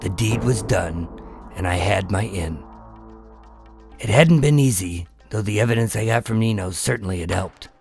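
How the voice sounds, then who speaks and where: A man playing a weary, calculating undercover agent, terse and measured, in a noir crime radio drama. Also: fairly low pitch, vocal fry, darkish tone. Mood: brooding narration. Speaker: lead actor and narrator. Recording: music under the voice.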